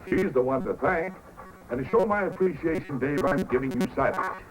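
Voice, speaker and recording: An actor playing a good-natured, generous sled-dog owner in an old-time radio drama. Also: male voice, on the high side, normal speed, lightly acted, poor audio. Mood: cheerful, generous. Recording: old radio recording with loud crowd.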